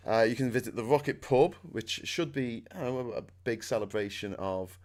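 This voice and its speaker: A middle-aged man with a Scouse accent, hosting a casual chat in a loud, excitable way and laughing often. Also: medium pitch, moderate pace. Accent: Liverpool (Scouse). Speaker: host.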